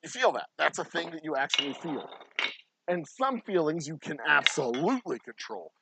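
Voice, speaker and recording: A middle-aged man with a sore, hoarse throat, talking bluntly and swearing freely while he bottles whiskey at his distillery. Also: on the high side, very animated, moderate pace, very noisy. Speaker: distillery owner. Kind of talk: casual vlog monologue while working.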